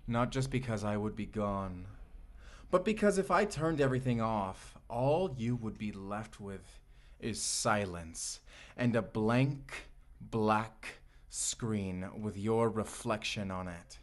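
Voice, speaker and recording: An actor playing a vengeful, obsessive male AI companion in a dark sci-fi audio roleplay, speaking in a deliberate, bitter, theatrical way. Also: lowish voice, lively intonation. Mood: menacing, contemptuous. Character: vengeful, obsessive male AI companion turned menacing robot lover.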